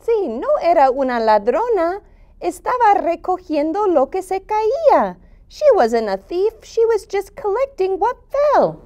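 A woman's voice: extremely high pitch, sing-song, a little slow, warm tone, exaggerated voice. A woman voicing a playful, curious little puppet monster in a children's read-aloud, speaking simply and switching between Spanish and English.

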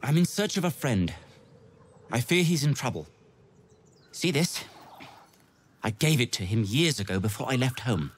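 Earnest wandering man, lively pitch. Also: moderate pace, long pauses, husky. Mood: earnest, worried. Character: earnest, loyal male wanderer searching for a friend. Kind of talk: video game dramatic acting.

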